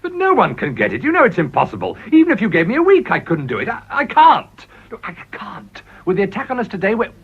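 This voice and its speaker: A man's voice: sits high, huge pitch swings, quick pace, slightly rough, exaggerated voice. An actor playing a ruthless, desperate middle-aged businessman in a radio drama, with a British accent and a blustering, wheedling delivery. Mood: desperate, aghast. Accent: British English. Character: ruthless, desperate, smooth-talking male businessman and political climber. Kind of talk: radio play acting. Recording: dull, muffled old radio recording.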